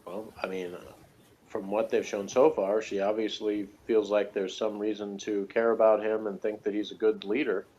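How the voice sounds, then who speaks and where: Low-voiced man in casual review discussion. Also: flat delivery, normal speed, darkish tone, a little hiss or hum. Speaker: TV episode reviewer. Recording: dull, lo-fi sound.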